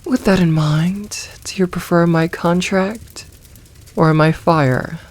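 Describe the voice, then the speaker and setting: Woman voicing a slow, low-voiced possessive demoness. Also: a little mellow. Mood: threatening, coaxing. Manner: teasing, commanding, deliberate with drawn-out pauses. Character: possessive, teasing, dominant demoness with a hungry streak. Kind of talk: ASMR roleplay, soft and intimate.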